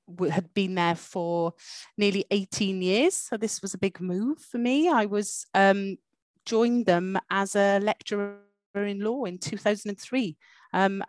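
A middle-aged woman with a Welsh accent, answering interview questions as a university dean in a measured way with some hesitant fillers. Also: mid-range voice, no room sound. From Wales.

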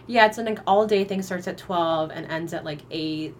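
A woman's voice: on the low side, moderate pace, a little hiss or hum. A woman co-hosting a casual travel podcast, rambling through plans with many fillers. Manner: rambling, filler-heavy explaining. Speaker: podcast co-host.

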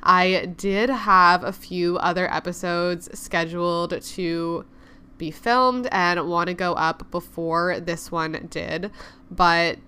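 A woman hosting a podcast in a chatty, upbeat way. Her voice sounds a little congested, yet it stays smooth and clear. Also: fairly low pitch, fairly even intonation, slow, sharp tone. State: congested, recovering from lost voice. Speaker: podcast host. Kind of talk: casual podcast chat.

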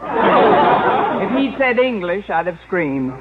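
A woman's voice: medium pitch, huge pitch swings, takes it slowly, over a noisy crowd. An actress playing a wisecracking woman with dry, sarcastic delivery in an old-time radio comedy. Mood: wry. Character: wisecracking, sardonic adult woman, quick with asides. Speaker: sitcom lead actress. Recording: tinny, noisy old radio broadcast with audience.

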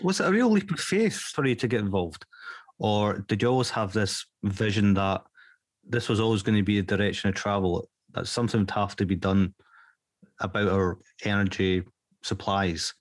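A man's voice: fairly low pitch, moderate pace, no echo. A male interviewer asking questions in a chatty, joking way. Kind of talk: conversational interview.

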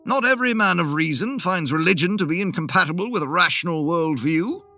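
A man reading the part of a stern, devout older Victorian gentleman with grey eyebrows, speaking firmly. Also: sits high, expressive, a little fast, faint music behind, full character voice.

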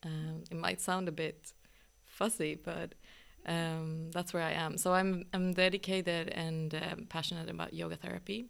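A woman from northern Sweden, a yoga teacher speaking as a podcast guest, talking reflectively with many pauses and ums. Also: on the low side, little pitch movement, gravelly. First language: Swedish. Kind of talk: reflective casual conversation.

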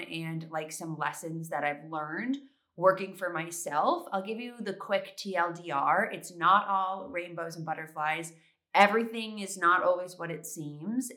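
A woman's voice: lowish voice, sharp tone. A young woman hosting a solo podcast in a chatty, upbeat way, congested from allergies. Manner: chatty, upbeat, many fillers. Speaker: podcast host.

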